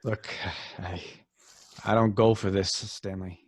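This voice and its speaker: Husky, jittery small-time crook. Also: male voice, lowish voice, level tone, slow, a little mellow, no echo, clean studio sound. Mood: uneasy. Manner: edgy, slangy grumbling. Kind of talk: old-time radio drama acting.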